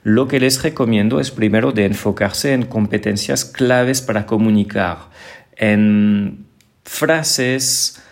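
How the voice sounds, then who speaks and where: Low-voiced French-accented man. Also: slow, gravelly. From France. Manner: friendly, explanatory, many small repetitions and restarts. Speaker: podcast host and French teacher. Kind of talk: casual podcast Q&A.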